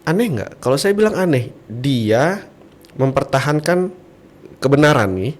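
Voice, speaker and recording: A man's voice: mid-range voice, sing-song, unhurried. A man from Bali, apparently middle-aged, talking as a podcast guest and preacher, telling stories with rhetorical questions. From Bali, Indonesia. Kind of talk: conversational religious podcast talk.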